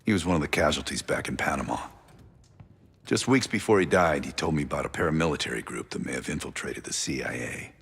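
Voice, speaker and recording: Gravelly, very deep rogue spy veteran. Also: male voice, fast, fairly bright tone, exaggerated voice. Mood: grave, guarded. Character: weary veteran rogue intelligence operative, guarded and cynical. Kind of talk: cinematic game dialogue, low-key briefing.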